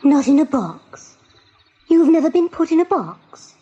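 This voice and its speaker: An actress playing a wide-eyed, sheltered female pet rabbit in a radio play, speaking with slow wonder. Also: high-pitched, big pitch swings, dark tone, highs cut off, a little hiss or hum. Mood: amazed. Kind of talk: radio drama acting.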